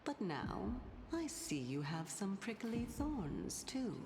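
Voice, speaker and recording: A woman's voice: mid-range voice, sing-song, a little hiss or hum. A woman voicing a haughty, teasing leader of scholarly sages in a video game, speaking formally and slyly. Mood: amused, teasing. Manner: unhurried, sly, formal. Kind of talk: video game story dialogue. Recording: game music playing loudly behind. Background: game soundtrack.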